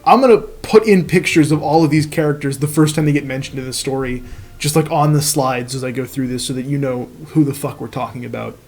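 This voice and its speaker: A man's voice: medium pitch, brisk, a little mellow. A man reading fan fiction aloud as host, in a comic narration with profane, mocking casual asides.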